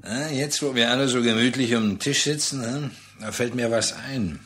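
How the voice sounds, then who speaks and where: An actor in a German radio play playing a pushy, jovial, slippery trader who wheedles in a chatty, condescending way. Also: male voice, medium pitch, moderate pace, fairly bright tone, faint music behind, lightly acted. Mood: wheedling.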